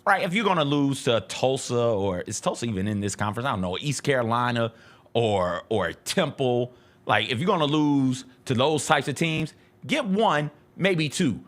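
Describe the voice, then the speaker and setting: A man's voice: mid-range voice, animated, normal speed. A man giving casual, emphatic sports commentary as a talk show co-host, repeating points for stress.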